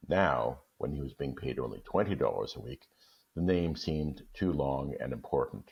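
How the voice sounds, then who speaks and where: A man reading a classic short story aloud in a steady, measured way. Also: rumbling low, vocal fry, lo-fi sound. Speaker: guest reader.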